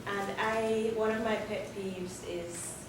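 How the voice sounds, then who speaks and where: A woman's voice: mid-range voice, steady tone, slow. Hesitant woman speaking from an echoey hall. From not from the Bahamas. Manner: thoughtful, hesitant, with restarts. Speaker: craft artisan speaking from the audience. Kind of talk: audience comment at a Q&A. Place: gallery event space with an audience. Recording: echoey room, far from the mic, audible background noise.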